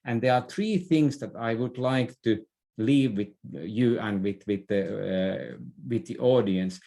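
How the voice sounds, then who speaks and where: A man's voice: fairly low pitch, unhurried, mellow. A middle-aged man gives advice in a conversational interview, often hesitating and repeating words. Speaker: podcast guest, business expert.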